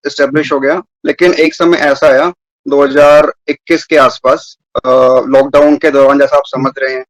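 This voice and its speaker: A man's voice: a little high, little pitch movement, normal speed, no echo, dull audio. A man from New Delhi in his thirties, telling his personal story earnestly and at length as a guest on a video call. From New Delhi, India. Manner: earnest, rambling, mixes English words into speech.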